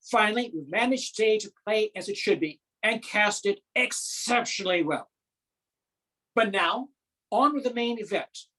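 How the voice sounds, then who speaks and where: A woman's voice: medium pitch, fairly bright tone, breathy edge, poor audio. Woman playing a pompous amateur theatre director. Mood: confident. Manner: formal, pompous, deliberate pauses. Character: earnest, self-important amateur drama society director, male. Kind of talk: theatrical comic monologue.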